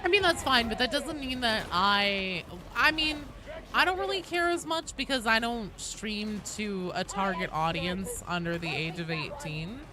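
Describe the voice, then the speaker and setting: A woman's voice: mid-range voice, expressive, unhurried, crowd noise. A woman livestreaming a game, chatting casually with viewers in an irreverent, sweary, talkative manner. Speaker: game streamer and host.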